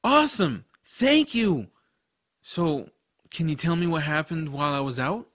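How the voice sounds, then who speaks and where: A man playing a casual, excitable boy hero, telling a fantasy adventure in the first person. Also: on the high side, measured pace, dry recording, clean studio sound. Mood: amazed, teasing. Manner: casual, boyish phrasing. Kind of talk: first-person audiobook narration and drama.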